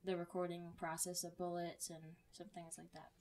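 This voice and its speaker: A woman's voice: lowish voice, completely flat delivery, moderate pace, a little mellow. A woman hosting a podcast in a casual, chatty style. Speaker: podcast co-host.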